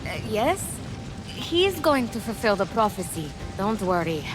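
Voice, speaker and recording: A woman's voice: mid-range voice, big pitch swings, measured pace, rough recording. A woman playing an eager but unsure young heroine, someone's little sister, in a video game. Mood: hesitant. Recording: clear music behind the voice.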